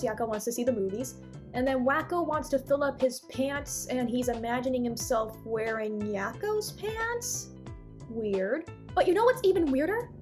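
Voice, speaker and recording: A woman reviewer giving casual, opinionated cartoon commentary with animated asides and exclamations. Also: high voice. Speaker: video reviewer.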